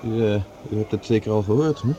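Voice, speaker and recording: A man acting as an earnest professor in a radio drama. He talks fast in a mellow, dark tone, with awkward little hesitations. Faint noise is in the background. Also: fairly low pitch, lo-fi sound, lightly acted. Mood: friendly, earnest. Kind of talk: Dutch radio play acting.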